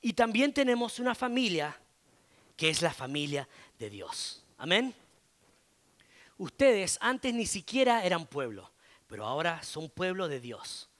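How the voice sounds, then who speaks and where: A middle-aged pastor with an Argentine Spanish accent preaches in a relaxed, talky way, leaning on fillers and tag questions. His voice sits high for a man. He takes his time, speaking at an unhurried pace. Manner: conversational, frequent filler "eh" and tag "¿no?". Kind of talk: sermon.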